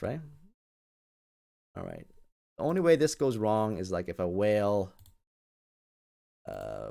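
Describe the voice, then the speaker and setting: A man's voice: fairly low pitch, gravelly. Man casually hosting a livestream. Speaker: livestream host. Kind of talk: casual live commentary to viewers.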